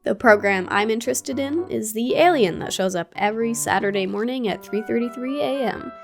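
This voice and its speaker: Gaming YouTuber, woman, fairly high voice, curious and playful scripted narration over soft music. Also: brisk, creaky. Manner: curious, playful, with casual asides. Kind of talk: scripted video essay narration.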